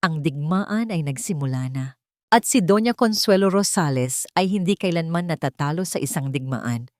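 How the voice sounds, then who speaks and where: A woman narrates a dramatic family story in a fairly low voice. The recording is dry and close, with almost no room sound around her. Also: lively intonation, normal speed, no room sound.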